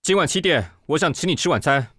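Theatrical, swooping Taiwanese magazine director. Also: male voice, a little high, lively intonation, fast talker, fairly bright tone, husky, dry recording, clean studio sound. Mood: bossy. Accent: Taiwanese Mandarin. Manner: theatrical, bossy, haughty. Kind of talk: radio drama acting.

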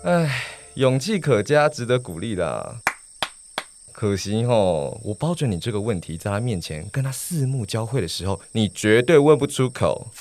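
A man acts as a mocking high school boy with a Taiwanese accent in a radio drama. His pitch leaps around in slangy, exclaiming lines. There is faint noise behind him. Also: very animated, moderate pace, darkish tone. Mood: mocking, frank. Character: teasing male high school student, blunt best friend. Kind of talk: Mandarin radio play acting.

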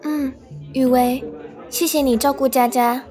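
A woman's voice: sits high, fairly even intonation, measured pace, dark tone, soft background music. A woman playing a considerate, concerned young friend in a radio drama.

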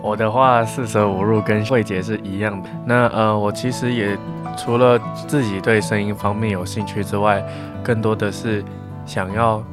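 A young man with a fairly low voice chats as a student guest. He is talkative and explains his points casually, with some soft music underneath. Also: steady tone, normal speed. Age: young adult. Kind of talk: casual interview chat.

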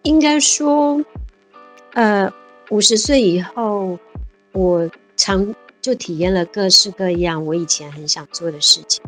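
A woman in her fifties from Taiwan, a guest in a casual interview, cheerful and quick to laugh. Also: medium pitch, faint music behind, poor audio. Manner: cheerful, laughs often, quick bursts of enthusiasm.

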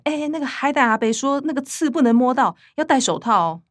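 An actress playing an earnest, fussy young younger sister in a radio drama, with a Taiwanese Mandarin accent. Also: female voice, sits high, animated, fairly quick, dry recording, studio quality. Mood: earnest explaining.

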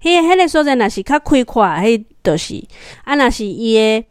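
Higher-pitched Taiwanese mother teaching children, music behind. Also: very animated, normal speed. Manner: asks guiding questions and repeats answers. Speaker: mother reading a picture book with her children. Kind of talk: conversational picture-book lesson for children.

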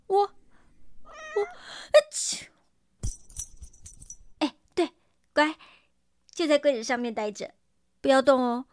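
A woman playing an excitable young woman in a radio drama, with a cutesy, whiny, exclamatory manner. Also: high-pitched, takes it slowly, long pauses, no echo, clean studio sound, exaggerated voice. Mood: tipsy. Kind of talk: Mandarin radio drama acting.

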